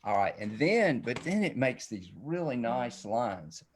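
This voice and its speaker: A man's voice: medium pitch, big pitch swings, unhurried, slight background noise. A middle-aged man giving a casual, rambling drawing demonstration for children, often checking in with the viewer.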